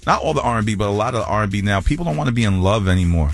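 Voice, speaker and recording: A man's voice: lowish voice, lively intonation, very fast. A man hosting a radio interview in a studio, joking and bantering with his guest. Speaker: radio DJ host.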